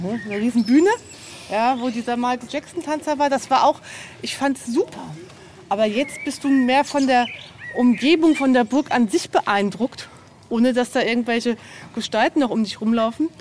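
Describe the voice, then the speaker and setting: A woman with a distinctly high-pitched voice chats informally, full of wonder and enthusiasm. She is outdoors on a tour, and a little ambient noise sits behind her speech. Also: normal speed, darkish tone. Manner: enthusiastic, marvelling. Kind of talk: casual chat on an outdoor tour. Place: outdoors at a castle ruin. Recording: outdoor field recording with faint background noise.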